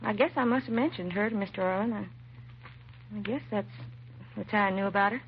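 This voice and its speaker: An American actress playing a timid, self-doubting young secretary in a 1950s radio drama, hesitant and apologetic. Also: female voice, mid-range voice, fairly quick, natural voice. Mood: earnest, hesitant. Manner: hesitant, nervous, apologetic, trailing and restarting. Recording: muffled vintage radio recording, faint hiss.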